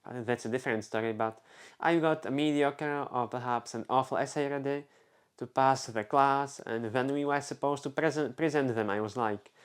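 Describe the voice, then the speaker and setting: A man's voice: medium pitch, moderate pace, clear, resonant tone. A young man from the Czech Republic with a Czech accent, telling a casual personal story on a vlog, rambling, restarting and filling with "like". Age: young adult. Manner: rambling, self-interrupting, many fillers. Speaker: vlogger.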